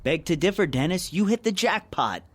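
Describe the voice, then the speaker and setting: Slick, animated captive executive. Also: male voice, high-pitched, normal speed. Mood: needling. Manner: sly, persuasive. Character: slick, needling captive male executive dealmaker. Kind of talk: audio drama acting.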